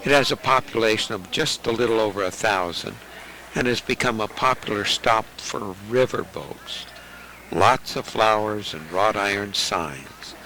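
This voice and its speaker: A man narrating a home travel video in a measured, informative way. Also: on the low side, bright, forceful tone, a little hiss or hum, rough recording.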